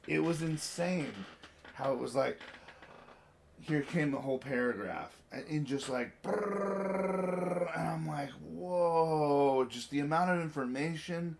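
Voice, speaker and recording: A man's voice: fairly high pitch, slow, creaky, background noise. An American man hosting a casual chat, speaking bluntly and swearing freely. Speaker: podcast host.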